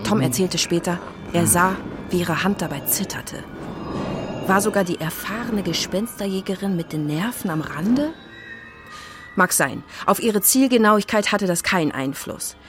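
A woman's voice: lowish voice, animated, normal speed, music in the background. A woman narrating a scripted children's story in a dramatic, suspenseful way.

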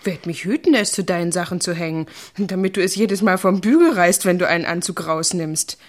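A woman's voice: lowish voice, fairly quick, close to an everyday voice. An actress playing a proud, independent young woman in a radio drama, matter-of-fact and earnest. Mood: defiant. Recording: clear music behind.

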